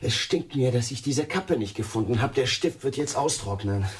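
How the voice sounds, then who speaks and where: Schauspieler als nervöser, pingeliger Yvon, deutliches Rauschen. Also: male voice, medium pitch, lively intonation, moderate pace, a little hiss or hum, close to an everyday voice. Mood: fretful. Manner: edgy, fretful, self-deprecating. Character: Yvon, nervous, fussy, likeable underachieving man. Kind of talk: German radio play acting.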